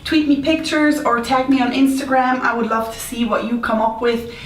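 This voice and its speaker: A woman's voice: on the high side, fairly even intonation, moderate pace. Echoey, playful Swiss-accented woman vlogger. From Switzerland. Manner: playful, informal, self-deprecating. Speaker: baking vlog host. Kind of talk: casual chat to camera. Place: indoors, home kitchen. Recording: roomy echo, distant mic, faint noise.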